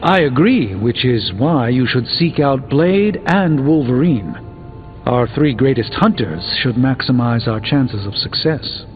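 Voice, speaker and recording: An actor playing a learned, composed middle-aged sorcerer in a video game, speaking with precise, formal, measured diction. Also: male voice, mid-range voice, lively intonation, dull audio, close to an everyday voice. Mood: grave, instructive. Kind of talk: video game character dialogue. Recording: band-limited game audio, long reverb, background music.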